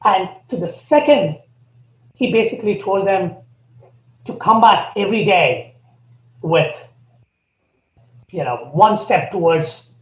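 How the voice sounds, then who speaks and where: A man with an Indian accent, a podcast guest laying out his points earnestly and deliberately. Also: high-pitched. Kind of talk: podcast interview. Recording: echoey, dull remote audio.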